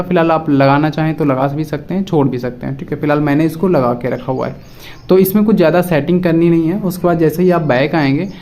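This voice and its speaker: A man's voice: on the high side, a little mellow. A man presenting a casual step-by-step phone app tutorial, addressing viewers directly and often repeating his instructions.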